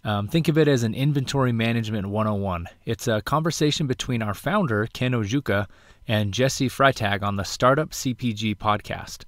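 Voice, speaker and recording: A man's voice: medium pitch, normal speed, a bit bright, dry recording, clean studio sound. A man hosting the show, reading a friendly scripted introduction to the episode.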